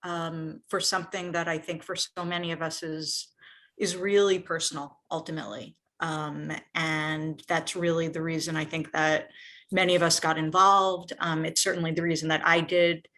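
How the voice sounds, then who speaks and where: An Israeli American woman giving a halting, earnest personal testimonial at an online event, searching for her words. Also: deep voice, flat delivery, fairly bright tone. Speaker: volunteer speaker at a nonprofit's online celebration.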